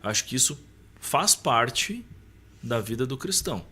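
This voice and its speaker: A man's voice: mid-range voice, big pitch swings, measured pace, pushed and bright, breathy edge. A man with a Brazilian Portuguese accent speaking as a podcast guest, colloquial and emphatic, explaining with examples in a preacher-like way. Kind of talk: casual conversation with preaching tone.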